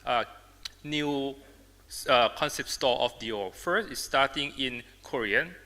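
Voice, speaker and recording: A man from Thailand with a Thai accent, a native Thai speaker, giving a symposium talk as an artist and designer in halting, emphatic English phrases. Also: medium pitch, pushed and bright. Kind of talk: public presentation in non-native English. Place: large indoor symposium hall. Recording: reverberant hall, amplified talk.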